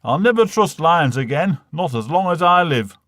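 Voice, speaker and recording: A working-class Northern English father, acted by a man in a comic verse story. He is blunt and droll, with an emphatic delivery and lively swings in pitch. The recording is dry and close. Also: moderate pace, no room sound. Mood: firm, gruff.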